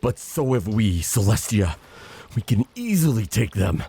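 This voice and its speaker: An actor playing a vengeful monster older brother in an audio drama, speaking with formal, bitter menace. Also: male voice, lowish voice, very animated, vocal fry, dark tone, slightly rough, heavily acted. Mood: bitter rage. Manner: menacing, formal, slow threats. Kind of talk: dramatic audio roleplay acting.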